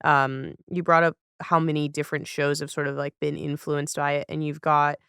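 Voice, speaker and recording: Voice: medium pitch, flat delivery, normal speed, gravelly, a bit bright, clear, resonant tone, no room sound, studio quality. A podcast host interviewing in a casual, conversational way, with agreeable, rambling phrasing.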